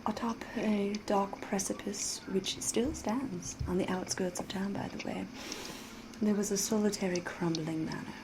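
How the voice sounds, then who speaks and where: A woman acting as ancient vampire woman, ASMR roleplay, very slow, soft whispery voice, coy and ominous, noisy ambience behind. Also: medium pitch, steady tone, close to an everyday voice. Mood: ominous storytelling. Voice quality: breathy, soft, often whispering. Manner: coy, deliberate, ominous, theatrical, drawn-out phrasing.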